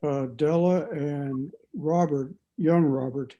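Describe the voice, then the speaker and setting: A man with a very dark, mellow tone and a slightly high pitch gives his view in a book discussion. He speaks slowly and haltingly, searching for the right words. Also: steady tone, muffled recording. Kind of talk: reflective opinion in group discussion.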